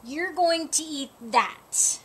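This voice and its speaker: A woman's voice: sits high, takes it slowly. A woman voicing a worried, bossy small male animal in a storybook, insistent and cautioning. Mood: disapproving.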